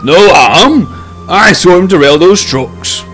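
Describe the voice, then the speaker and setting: A man's voice: low voice, lively intonation, normal speed, music in the background. A man voicing a nervous, earnest male locomotive in a children's story, easily frightened and indignant. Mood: indignant, distressed. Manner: stammering when shocked, indignant.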